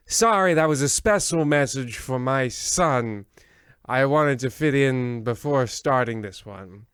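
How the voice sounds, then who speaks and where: A man's voice: medium pitch, lively intonation, a little slow, fairly bright tone, clean tone, no room sound. A man playing a pompous, creepy host of an old-time radio horror comedy, theatrical and mock-sinister, with insults for the listener. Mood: dryly droll. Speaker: host of an anthology radio play.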